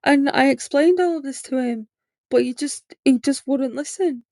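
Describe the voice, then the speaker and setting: A young woman in her late twenties hosts a podcast, telling her own story candidly in a loose, rambling way full of fillers. Her fairly high voice has a gravelly fry and stays flat in pitch. The sound is close and dry. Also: moderate pace, no echo. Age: 20s. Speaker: podcast host. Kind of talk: personal monologue.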